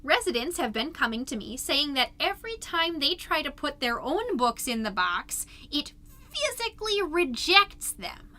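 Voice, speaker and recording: Perky suburban mom podcast host, played by a woman, very high bright voice, chatty and fretful, scripted comedy. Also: sing-song, moderate pace, hardly any pauses, full character voice. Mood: chatty, wry. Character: fretful, chipper suburban mom hosting a neighborhood newscast.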